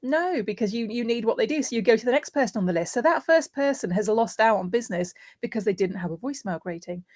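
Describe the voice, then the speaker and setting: A woman's voice: on the high side, animated, quick pace, few pauses, darkish tone, dry recording, studio quality. A woman speaking as a guest voiceover artist, giving practical tips conversationally and illustrating points with everyday examples.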